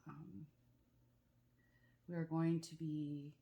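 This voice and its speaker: A woman chatting casually at home in a meandering, confiding way, her voice rough and congested from a cold. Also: sits low, flat delivery, drawn-out words, mellow, muffled recording. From Canada. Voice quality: rough, croaky. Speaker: craft vlogger. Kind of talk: casual chat while crafting. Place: at home with the back door open.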